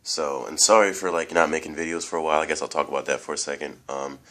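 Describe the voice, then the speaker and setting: A young man with an extremely low, deep voice, stuffed up and congested from allergies. He rambles loosely through a home toy review, full of fillers, and keeps calling his viewers "guys.". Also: steady tone, fast, fairly bright tone. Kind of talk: casual toy review vlog. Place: room at home, indoors.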